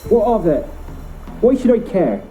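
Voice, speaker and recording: A man's voice: high-pitched, very animated, unhurried. An actor playing an irritable, hostile village man in a video game. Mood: irate. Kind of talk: video game dialogue acting. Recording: muffled sound, loud music behind.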